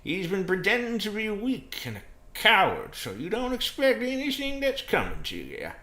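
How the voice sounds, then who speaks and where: A man voicing a dramatic, deceitful American man in a story, declaiming in a drawn-out, bombastic way. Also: high-pitched, huge pitch swings, bright, forceful tone, voice pushed up, exaggerated voice. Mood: urgent, grave. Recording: roomy, echoing sound.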